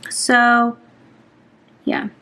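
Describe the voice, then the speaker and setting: A woman's voice: on the high side, level tone, extremely slow, creaky, sharp tone. A young woman in her twenties chatting while she decorates a planner, rambling and self-conscious, with lots of ums and restarts. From Atlanta, Georgia, US. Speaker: planner vlogger.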